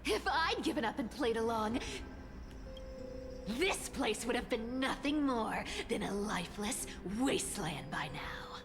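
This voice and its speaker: A woman's voice: fairly high pitch, lively intonation, a little slow. An actress playing a cocky, taunting young female trickster thief in a dramatic, theatrical video game style. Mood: bitter resolve. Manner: theatrical, teasing, defiant. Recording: background music throughout. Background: loud game music.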